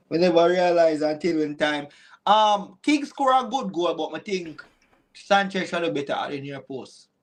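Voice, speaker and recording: A man's voice: on the high side, expressive, moderate pace. A young man with a Jamaican accent co-hosting a football podcast, chatty and joking as he chimes in. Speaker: podcast co-host. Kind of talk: casual football chat. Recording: noisy background hiss.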